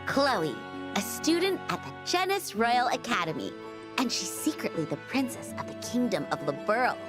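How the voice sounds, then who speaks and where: An actress voicing a cheerful, determined teenage girl heroine in a game, recapping her journey with lively delivery over music. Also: on the high side, normal speed. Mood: upbeat. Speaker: game character narrating a recap. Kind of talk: scripted game voice acting. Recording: game audio with music underneath.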